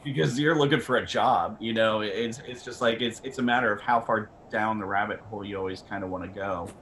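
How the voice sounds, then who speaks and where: A man's voice: fairly low pitch, a little fast, fairly bright tone. A man speaking casually as a guest expert on a panel, conversational and rambling from one thought to the next. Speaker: camera company representative, guest expert. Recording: faint background noise.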